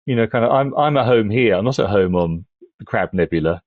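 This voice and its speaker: A man's voice: fairly low pitch, lively intonation, fairly quick, dry recording, phone-quality sound. A man discussing things casually but analytically on a podcast, in a thoughtful, bookish way with hedged phrasing.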